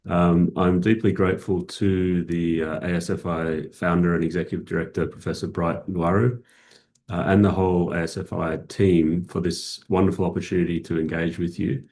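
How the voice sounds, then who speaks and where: A middle-aged Australian man with an Australian accent giving a formal conference keynote, measured and full of "uh" fillers. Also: deep voice, little pitch movement, darkish tone, slight background noise. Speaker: keynote speaker, academic.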